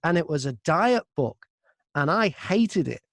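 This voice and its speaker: A man chatting casually in an interview as a narrator, telling stories in an animated, talkative way from inside a wardrobe booth. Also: a little high, unhurried, no echo, clean studio sound. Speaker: audiobook narrator and voice-over artist, interview guest.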